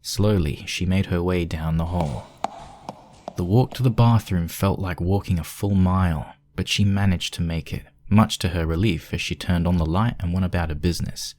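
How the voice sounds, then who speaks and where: A man's voice: low voice, moderate pace, a little mellow. A man reading scripted story narration as the narrator.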